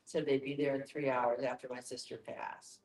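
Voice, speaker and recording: Flat-toned woman in an echoey room. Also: rumbling low, moderate pace. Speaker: discussion participant. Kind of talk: recounting personal experience.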